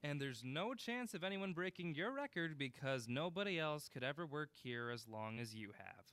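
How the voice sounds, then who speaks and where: A man's voice: on the high side, animated, normal speed, fairly bright tone, smooth, dry recording. An actor playing a playful male film buff who teases his friend in a naturalistic audio drama. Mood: teasing. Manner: playful, needling banter. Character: teasing, upbeat male film buff, sound-business friend.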